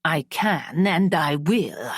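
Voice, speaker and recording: A woman voicing a cold, cruel middle-aged father who speaks slowly and snarls his threats. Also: low-pitched, expressive, slightly rough, no room sound, clean studio sound, heavily acted. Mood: menacing. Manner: cold, snarling, slow and menacing.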